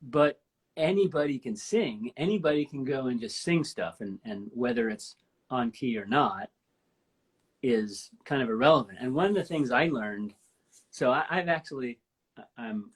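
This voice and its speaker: A woman in her fifties with a deep voice, hosting a casual live-stream chat and telling stories with enthusiasm. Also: lively intonation, moderate pace, breathy edge. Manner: enthusiastic, rambling storyteller. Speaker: host. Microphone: live stream from home.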